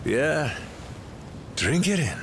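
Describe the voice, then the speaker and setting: A brash pirate captain from a video game, pitched a little high for a man. He is theatrical and playful, swinging widely in tone as he rallies his crew. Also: very animated, very slow, slight background noise, heavily acted. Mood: encouraging.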